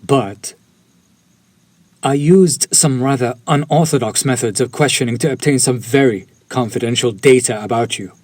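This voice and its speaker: A man's voice: medium pitch, moderate pace, darkish tone, no room sound, lightly acted. A man playing a courteous, lovesick, centuries-old vampire in an intimate audio roleplay, with formal, old-fashioned and pleading speech. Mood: apologetic, formal. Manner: formal, archaic, ornate, apologetic phrasing. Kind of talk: scripted romantic audio roleplay, intimate direct address.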